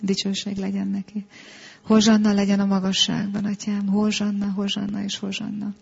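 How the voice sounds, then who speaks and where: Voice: medium pitch, completely flat delivery, vocal fry, dull audio. A prayer leader praying fervently in an incantatory, repetitive way, slipping between words and chanted speaking in tongues.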